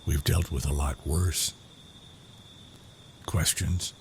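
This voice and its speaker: A man playing a gruff, menacing gang leader in an audio comic, giving curt, commanding lines in a gravelly voice. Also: extremely low pitch, steady tone, slow, exaggerated voice. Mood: grim, commanding. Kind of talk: audio comic dramatic acting. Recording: clearly noisy track with night crickets.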